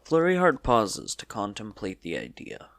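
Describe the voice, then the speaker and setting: A man's voice: fairly low pitch, wildly swooping pitch, unhurried, fairly bright tone, no room sound, clean studio sound. A man narrating a scripted story in a dramatic storybook style.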